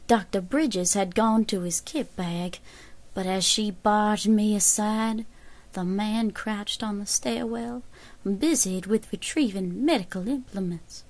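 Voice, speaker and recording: An actress playing a grave 1870s American woman survivor, giving a measured, formal account in an audio drama. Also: mid-range voice, darkish tone. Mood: grave, hushed. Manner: measured, formal old-fashioned storytelling.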